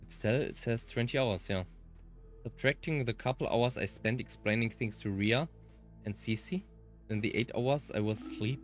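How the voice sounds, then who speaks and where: A man's voice: mid-range voice, normal speed, faint music behind, lightly acted. A man voicing an earnest, easily flustered young male protagonist of a visual novel. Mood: thoughtful.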